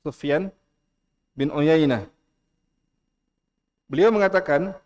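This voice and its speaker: Middle-aged man, Indonesian accent, mosque lecture, earnest, fairly high voice, repeats himself for emphasis. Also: darkish tone, clear, resonant tone. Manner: earnest, repeats key phrases, recites Arabic slowly. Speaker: preacher.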